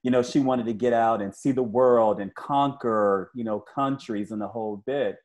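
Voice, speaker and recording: Older male interviewer, soft dark tone, halting, affirming delivery in casual chat. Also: mid-range voice, fairly even intonation. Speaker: interviewer, comic creator. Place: living room at home, indoors, on a video call.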